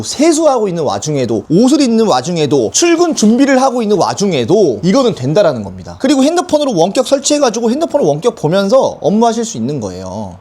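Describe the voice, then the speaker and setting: Very animated, high-pitched man teaching. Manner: asks and answers own questions, acts out example thoughts. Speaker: online-selling instructor and software founder. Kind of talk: live product tutorial, explaining step by step.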